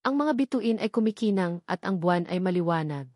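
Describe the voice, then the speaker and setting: A woman narrating a scripted sentimental story in an earnest, measured way. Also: on the low side, lively intonation, darkish tone, no room sound, clean studio sound.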